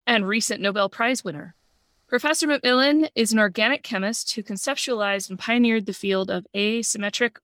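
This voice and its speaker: A woman's voice: fairly high pitch, fairly bright tone, dry recording. A woman hosting a podcast, reading an upbeat introduction and asking questions. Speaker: podcast host.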